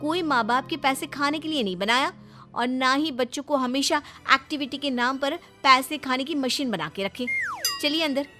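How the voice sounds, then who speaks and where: Woman acting high-voiced thrifty young housewife, self-justifying. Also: moderate pace, pushed and bright, faint music behind. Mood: indignant. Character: thrifty, wheedling young housewife and daughter-in-law.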